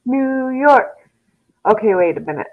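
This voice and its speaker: A woman's voice: on the high side, animated, measured pace, narrow, tinny audio, a crowd in the background. A woman in casual chat who recites lists from memory in an easygoing way.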